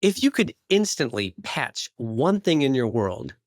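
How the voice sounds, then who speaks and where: A man's voice: mid-range voice, very animated, measured pace, no room sound, studio quality. A man hosting a podcast interview, putting questions to his guest. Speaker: podcast host.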